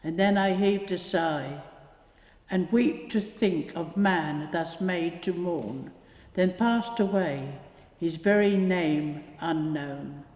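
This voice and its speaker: Woman, unhurried poetry reading, echoey hall, long reverb. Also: lowish voice, a little mellow. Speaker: poetry reader. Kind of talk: measured poetry recitation.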